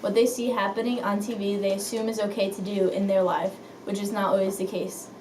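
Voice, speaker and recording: A woman's voice: mid-range voice, flat delivery, a little fast, darkish tone, lightly acted. A woman playing an earnest 1950s news anchor, reading scripted items in an amateur mock newscast. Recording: echoey room, distant mic, faint noise.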